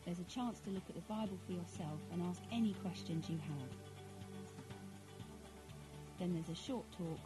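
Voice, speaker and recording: British lady doing a scripted promo voiceover, music playing under her, voice a bit low. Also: moderate pace, long pauses. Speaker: video narrator. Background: music bed.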